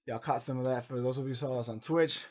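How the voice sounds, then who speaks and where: Hoarse young man losing his voice. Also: medium pitch, fast, a little mellow. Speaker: podcast co-host. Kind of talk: casual chat.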